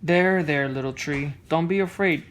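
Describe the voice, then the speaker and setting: A woman's voice: deep voice, moderate pace, lightly acted. A woman voicing a kindly, reassuring grown male tree in a storybook. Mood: friendly, soothing.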